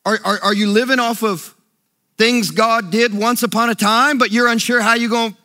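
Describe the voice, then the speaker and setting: A man preaching a sermon with emphatic, sing-song delivery that sometimes drops to a low, hushed voice. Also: fairly even intonation, normal speed. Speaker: pastor.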